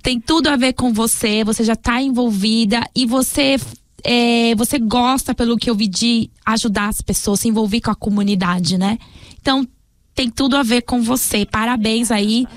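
A woman's voice: fairly high pitch, steady tone, moderate pace, no echo. Enthusiastic woman interviewer, dry sound. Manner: enthusiastic, effusive with praise.

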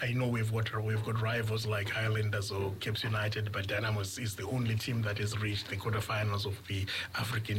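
A middle-aged man from Zimbabwe, a radio guest who talks earnestly and haltingly, often repeating words as he thinks. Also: fairly low pitch, a little hiss or hum, poor audio. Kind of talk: radio interview.

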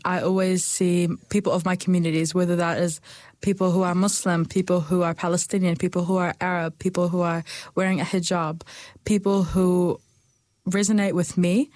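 A young woman, speaking earnestly and thoughtfully about her heritage, with small pauses and restarts. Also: lowish voice, fairly even intonation, darkish tone, dry recording. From New Zealand. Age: young adult. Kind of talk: personal reflection.

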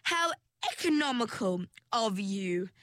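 A woman's voice: mid-range voice, big pitch swings, takes it slowly, fairly bright tone, dry recording. A woman voicing a scheming, elegant female mermaid villain who speaks in a pleasant, condescending way. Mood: smug.

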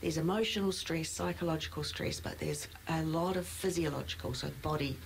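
Low-voiced gravelly woman podcast host. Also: brisk, a little hiss or hum, low-quality recording. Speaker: podcast co-host, clinical manager and nurse. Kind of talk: casual podcast chat.

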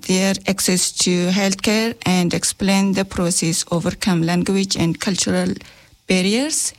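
A woman from Afghanistan answering radio interview questions as a health navigator, earnest and slightly halting. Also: medium pitch.

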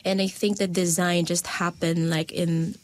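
A woman's voice: fairly low pitch, level tone, normal speed, darkish tone. A woman from the Philippines, a designer and lecturer answering interview questions in an earnest, searching way full of fillers and repeats.

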